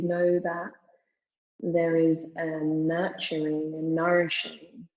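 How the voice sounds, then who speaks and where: Female meditation guide: low, even-toned delivery, soothing and measured, lots of space between phrases, slightly dull recording. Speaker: yoga nidra meditation teacher. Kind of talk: guided meditation, spoken slowly with long pauses.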